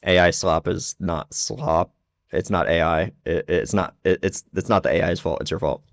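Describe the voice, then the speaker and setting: A man speaking as a podcast guest in casual chat, blunt and animated, with lots of filler words. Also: deep voice, normal speed, gravelly, dry recording, studio quality. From not from the Czech Republic. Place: lives in Prague.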